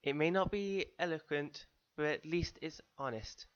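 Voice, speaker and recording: A man's voice: fairly high pitch, moderate pace, clear, resonant tone, highs cut off. A man reading fan fiction as an earnest first-person dramatic narration, addressing his listeners directly on an amateur recording.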